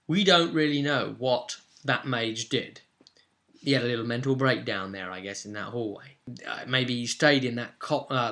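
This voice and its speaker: Bright, forceful-voiced man rambling casually. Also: medium pitch. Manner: rambling, conversational, blunt with some swearing. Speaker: game master and video host. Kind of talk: casual recap of a tabletop roleplay session, to camera.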